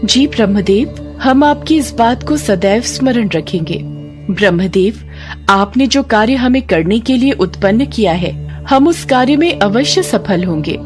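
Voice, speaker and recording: A woman plays an eager young goddess from an animated devotional tale. She speaks politely and earnestly with lively pitch, music behind her. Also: unhurried. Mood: earnest, obedient. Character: young goddess sisters, respectful and eager pupils. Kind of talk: animated devotional story dialogue.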